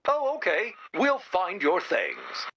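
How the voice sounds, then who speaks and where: An actor voicing an anxious, childlike, earnest robot in an animated video game, with a timid, hesitant manner and a robotic filter. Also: mid-range voice, slow pace, husky, lo-fi sound, heavily acted. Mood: eager, earnest. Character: anxious, childlike, well-meaning robot, literal-minded.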